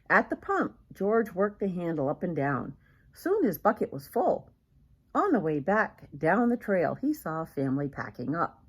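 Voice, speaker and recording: A woman's voice: lowish voice, slightly rough. An older woman reading a children's picture book aloud expressively, as a grandmother would, with questions to the young listener.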